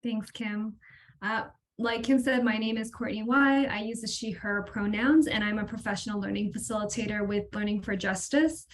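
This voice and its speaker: A woman's voice: medium pitch, moderate pace. A woman in her thirties from Hawaii with an American accent, a facilitator sharing personal anecdotes casually on a video-call panel. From Hawaii, United States. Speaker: professional learning facilitator, former teacher. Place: indoors in front of a colourful backdrop.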